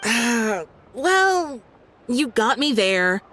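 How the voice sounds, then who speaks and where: A woman playing a feisty, loyal townswoman in a video game, emphatic and quick to scoff. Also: fairly high pitch, big pitch swings, pushed and bright, a little hiss or hum, exaggerated voice. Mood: conceding, brisk. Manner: emphatic, scolding.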